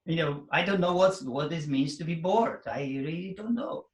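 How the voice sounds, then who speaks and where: A man teaching a fitness method, talking casually to an audience in halting, non-native phrasing and laughing often. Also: a little high, reverberant. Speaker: fitness teacher hosting a workshop.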